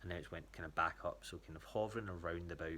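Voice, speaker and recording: Scottish male, low voice, informal and halting check-in style, noisy recording. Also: steady tone, bright, forceful tone. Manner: hesitant, rambling. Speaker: athlete giving a training check-in to a coach. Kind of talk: casual spoken update.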